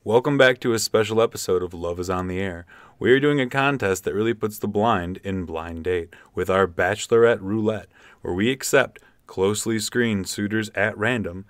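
Male actor as upbeat radio love-advice host, very low voice, dry close sound. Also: normal speed, no room sound, natural voice. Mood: upbeat, agreeable. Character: smooth male radio dating-show host, upbeat, agreeable. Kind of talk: comic radio theatre, radio host patter.

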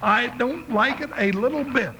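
Very high-pitched grumbling cowboy sidekick. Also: male voice, normal speed, loud music behind the voice. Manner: folksy, exasperated, complaining. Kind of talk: old-time radio western acting. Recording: noisy, crackly vintage radio recording.

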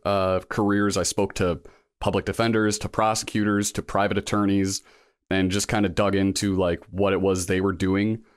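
A man's voice: fairly low pitch, no echo, clean studio sound. A man in his thirties, a guest in a casual interview, talking in a reflective, rambling way with many fillers and restarts. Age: 30s. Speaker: guest, law school applicant.

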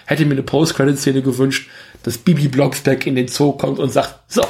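A podcast co-host speaks. The delivery is casual film-review chat that rambles along, as if thinking out loud. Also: mid-range voice, fairly even intonation, moderate pace, vocal fry, a little hiss or hum.

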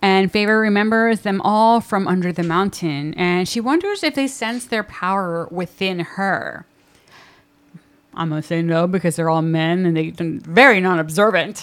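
A woman recaps book chapters on a podcast, chatty and quick to giggle. Her voice sits on the low side, deeper than most women's. She tends to trail off mid-thought before picking the story back up. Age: 30s. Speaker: podcast co-host. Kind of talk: casual book-club chat, recapping chapters.

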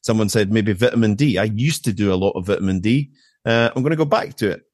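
A man's voice: lowish voice, quick pace, darkish tone, dry recording, clean studio sound. A middle-aged British man chatting casually as an interview guest, telling stories with emphatic repetition and colloquial asides. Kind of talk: casual conversation, anecdotal.

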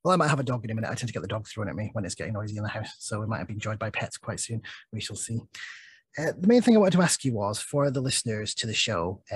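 A man from Scotland hosts a casual podcast chat from his home, talking fast in a chatty, self-deprecating way. His voice is husky and rather low. The sound is dry and close, with no room echo. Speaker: podcast host, game master.

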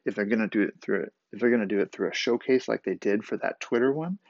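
Raspy, gravelly podcast host, low voice. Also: male voice, quick pace, darkish tone, no echo, highs cut off. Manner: rambling, with fillers and restarts. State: groggy after a vaccine. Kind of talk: casual solo podcast talk.

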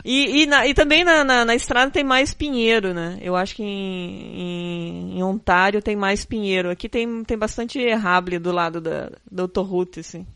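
A man's voice: sits high, moderate pace, few pauses, bright, forceful tone, clear, resonant tone, dry recording. A man co-hosting a casual podcast chat, rambling with many restarts and frequent laughter. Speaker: podcast co-host.

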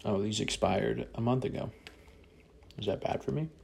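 Low, gravelly-voiced man rambling casually. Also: normal speed, a little mellow, slight background noise. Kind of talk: casual rambling chat, with some reading aloud from a book.

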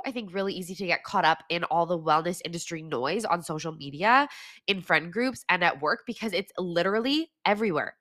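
A young woman hosting a podcast, chatting frankly with fillers, her voice raspy and congested from a cold. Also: fairly low pitch, a bit bright. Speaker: podcast host. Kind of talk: casual solo podcast talk; scripted intro over music.

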